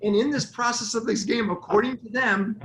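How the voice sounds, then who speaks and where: A middle-aged man co-hosting a relationship talk show, jokey and animated, prompting his partner to explain. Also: very high voice, moderate pace, background noise. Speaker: podcast co-host. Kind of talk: casual chat. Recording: echoey room, faint ambience.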